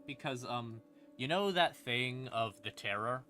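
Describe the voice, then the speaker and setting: Male lore streamer, mid-pitched and sharp-edged, casual and sardonic, faint ambient music underneath. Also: animated, measured pace. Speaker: streamer explaining game lore. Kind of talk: casual, rambling lore explanation. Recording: music playing behind the voice. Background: creepy ambient music.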